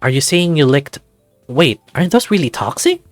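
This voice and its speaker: A man's voice: on the high side, sing-song, moderate pace, dry recording, faint music behind. A man voicing a friendly, inquisitive young lifeguard, the hero of a story game. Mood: curious. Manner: chatty, inquisitive.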